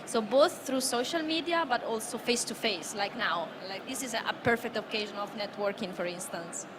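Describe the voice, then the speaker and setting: A woman's voice: mid-range voice, brisk. A woman chatting casually and playfully as a panelist on a live stage. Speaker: podcast team member, panelist. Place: live stream stage at a busy event. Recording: noisy event floor ambience.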